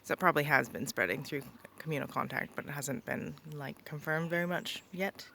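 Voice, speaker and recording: A woman's voice: deep voice, a little fast, creaky, pushed and bright. A young woman vlogging casually from her rural property, rambling with many ums and likes. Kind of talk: casual vlog talk. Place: rural property outdoors, birds around. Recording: noisy outdoor recording.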